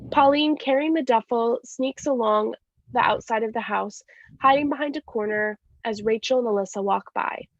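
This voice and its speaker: A woman's voice: fairly high pitch, normal speed, no echo. A woman reading scripted stage directions in a live staged reading of a play. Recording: dull lo-fi sound.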